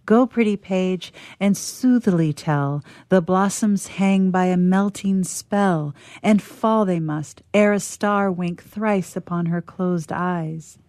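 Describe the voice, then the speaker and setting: A woman with a fairly low voice reads verse aloud softly. Her pace is very slow, as a radio theatre player reciting poetry. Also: dry recording, clean studio sound. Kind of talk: slow, soft poetry reading.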